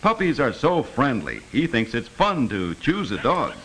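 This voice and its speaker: A man's voice: medium pitch, very animated, a little slow, fairly bright tone. A man narrating a 1950s educational film, telling a simple story earnestly, as if for children. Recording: noisy vintage 1950s film soundtrack.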